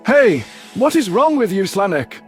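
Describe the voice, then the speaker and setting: A man's voice: sits high, big pitch swings, measured pace, faint music behind, pitched above the performer's own voice. A man voicing an impatient, scornful alien pilot in a sci-fi audio drama, barking and snappish. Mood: irritated, barking.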